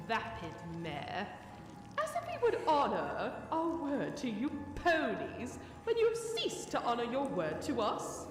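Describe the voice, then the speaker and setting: Slow, echoing, gloating female monster. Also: a little high. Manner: slow, theatrical, savoring cruelty. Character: gloating parasitic monster wearing a dead pony's body. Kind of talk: audio drama acting. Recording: echoing, long reverb over music.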